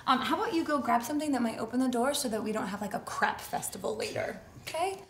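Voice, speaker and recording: A woman's voice: a little high, expressive, fast talker, reverberant, a little hiss or hum. A woman in her thirties playing a mother, pleading and coaxing through a locked bathroom door in a comedy sketch. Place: home hallway by bathroom door. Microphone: boom mic.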